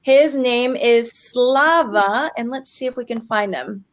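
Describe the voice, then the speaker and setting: A woman's voice: fairly high pitch, slow. A woman in her forties hosting an online interview show. She talks in a bubbly, chatty way with frequent "um" fillers. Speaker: interview host and business coach.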